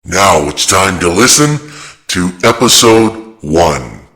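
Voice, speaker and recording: A man hosting a horror radio show, reading a scripted introduction in a measured, theatrical way. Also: deep voice, expressive, a bit bright. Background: faint music.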